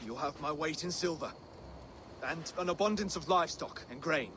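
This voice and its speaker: A man's voice: on the high side, normal speed, noisy background, lightly acted. An actor playing an earnest, resolute young Saxon king in a video game, firm and formal. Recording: game music behind.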